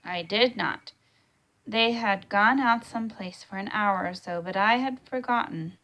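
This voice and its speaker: A woman's voice: medium pitch, measured pace, bright, forceful tone, low-quality recording. A woman voicing a kindly old grandfather, telling a childhood tale with emphasis in a storybook read-aloud. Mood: dramatic.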